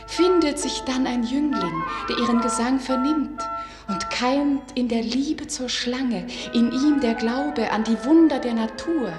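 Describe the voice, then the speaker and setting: An actress playing an enchanted serpent maiden, solemnly and lyrically telling a legend in a radio play. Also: female voice, fairly high pitch. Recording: long echoing reverb. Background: music.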